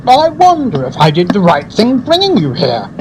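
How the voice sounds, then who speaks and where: A man acting a fussy, fervent food lover in a radio play. His voice is on the high side and swings widely as he speaks with florid emphasis, over faint background noise. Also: huge pitch swings, moderate pace. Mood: eager, confiding. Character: fussy, fervent male advertising man, devoted gourmet.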